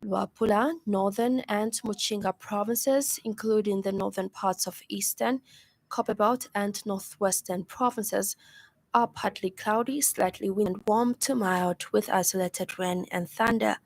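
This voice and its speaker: A woman newsreader with a Zambian accent delivers a scripted bulletin in a radio studio. Her voice sits at a medium pitch, and her delivery is formal and measured. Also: steady tone, darkish tone, no room sound. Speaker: radio news presenter. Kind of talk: scripted news bulletin reading.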